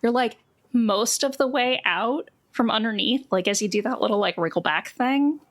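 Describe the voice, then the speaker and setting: A woman's voice: fairly high pitch, normal speed. A woman game master narrating a tabletop game in a casual, improvised, playful way.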